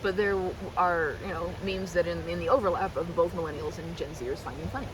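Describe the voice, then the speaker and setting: A woman's voice: on the low side, brisk, noisy background, lightly acted. A woman playing a chatty, affectionate, self-deprecating best friend in a casual roleplay monologue, rambling and interrupting herself with tangents. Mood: excited, chatty.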